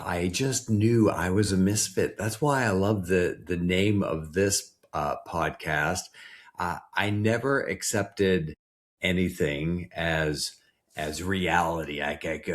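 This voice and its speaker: A man with a Canadian accent, a podcast guest chatting casually and often restarting his sentences. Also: low-pitched. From East Coast Canada. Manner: rambling, self-correcting. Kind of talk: casual interview chat.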